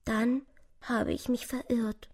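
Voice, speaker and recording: A woman voicing a small, timid, lost little mouse in a children's story, with a soft, breathy, plaintive voice. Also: a little high, steady tone, slow pace, pitched above the performer's own voice, full character voice. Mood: timid, confessing.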